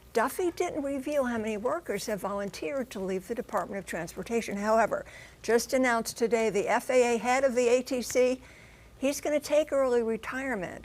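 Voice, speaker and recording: A woman with a fairly high voice delivers a broadcast news report. She is emphatic and conversational, punctuating explanations with folksy exclamations and rhetorical questions. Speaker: TV transportation correspondent. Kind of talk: broadcast news report with live studio discussion.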